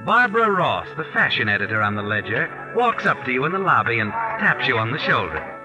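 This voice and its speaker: Ominous actor as a radio mystery narrator, music behind. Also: male voice, mid-range voice, very animated, fairly quick, close to an everyday voice, rough recording. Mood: knowing, ominous. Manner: sardonic, dramatic second-person telling. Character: knowing, ominous male mystery storyteller taunting the culprit. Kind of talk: 1940s radio scripted narration. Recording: 1940s radio broadcast.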